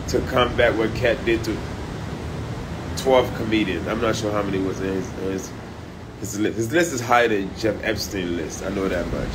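A man livestreaming from a high-rise room by the beach on a phone at arm's length, talking loud, profane and animated. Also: background noise. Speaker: comedian livestreaming. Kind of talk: casual live stream chat. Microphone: phone held at arm's length.

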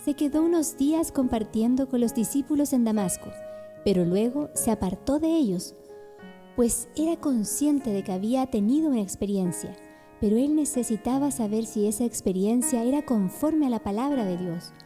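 A woman's voice: a little high, expressive, moderate pace, warm tone, soft background music. A woman giving scripted narration for a radio drama.

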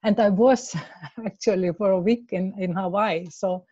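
A woman from Iceland with an Icelandic accent, speaking in a casual discussion over a video call, hesitant, repeating words and laughing softly. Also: medium pitch, measured pace, husky, no echo, muffled recording. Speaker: class participant.

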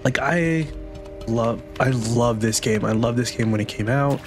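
A man's voice: medium pitch, unhurried, faint music behind. A man with a stuffy nose from a cold, casually commentating a video game playthrough. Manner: casual, chatty. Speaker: gaming channel host. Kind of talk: let's play commentary.

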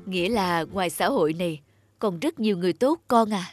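A woman voicing a caring, worried mother in an animated story, gentle and concerned in manner. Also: on the low side, a little fast, natural voice. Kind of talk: Vietnamese animated moral story dubbing. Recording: music behind the voice.

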